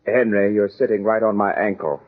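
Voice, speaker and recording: A man's voice: fairly low pitch, fairly even intonation, a little fast, close to an everyday voice. An actor playing a dry, exasperated middle-aged father in 1940s radio comedy style. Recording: tinny narrow-band vintage radio.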